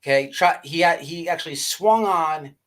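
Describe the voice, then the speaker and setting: High-pitched man ranting on a livestream. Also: moderate pace, a bit bright. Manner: profane, slangy, stammering and repeating words. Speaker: livestream host. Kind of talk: casual livestream rant, chatting with viewers.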